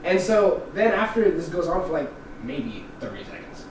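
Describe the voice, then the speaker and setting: A young man telling stories in casual chat, animated and laughing often. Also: on the high side, normal speed. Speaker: podcast co-host. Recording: echoey room, distant mic, background noise.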